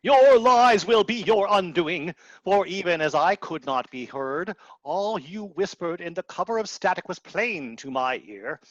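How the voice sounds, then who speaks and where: A man's voice: high-pitched, lively intonation, a little slow, a bit bright, no echo. A man voicing a pompous, scolding professor who commands misfits in a mock-archaic radio play, with theatrical delivery. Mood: commanding. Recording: dull, dry lo-fi video-call audio.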